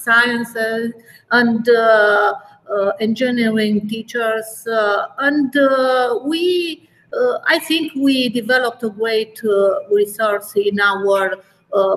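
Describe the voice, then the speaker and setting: A middle-aged woman from Romania, a mathematics teacher, introducing herself in a webinar and pausing often with filler sounds. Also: a little high, a bit bright, rough recording. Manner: halting, many filler sounds. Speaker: guest teacher.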